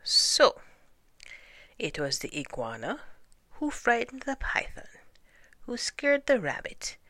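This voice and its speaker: Woman as storybook lion king, stern, declamatory, forceful, widely swinging pitch, close dry sound. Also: sing-song, measured pace, no room sound, whispered, full character voice. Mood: pronouncing judgment. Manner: declamatory, cumulative repetition. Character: commanding lion king, stern judge of the animals. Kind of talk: animated folktale storytelling.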